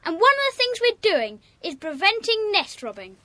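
A woman's voice: very high voice, very animated, normal speed, pushed and bright, no room sound, full character voice. A woman with a British accent playing an eager, forthcoming child club member in a children's audio drama.

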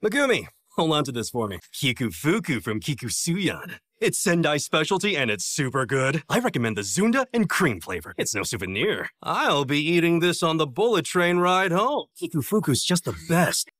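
A man's voice: on the high side, big pitch swings, normal speed, a bit bright, no echo, clean studio sound. An American man playing a cocky, playful young sorcerer teacher in an English anime dub, with a breezy manner.

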